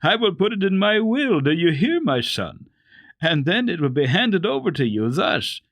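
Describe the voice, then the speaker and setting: A kindly old storybook grandmother, played by a man, speaks with patient indulgence. Her intonation is highly animated and lilting, in a dry, close sound. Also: normal speed, no room sound, full character voice. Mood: amused, generous. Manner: gentle, indulgent, explaining patiently.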